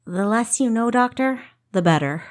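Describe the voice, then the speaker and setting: A woman's voice: on the high side, expressive, moderate pace, pitched above the performer's own voice, close to an everyday voice. A woman voicing a stubborn young female thief in a fantasy audiobook, guarded and defensive in manner.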